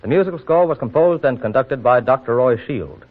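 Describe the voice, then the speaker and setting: A man's voice: mid-range voice, animated, fairly quick. Tinny 1940s radio announcer, a man. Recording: tinny, narrow-band old radio broadcast.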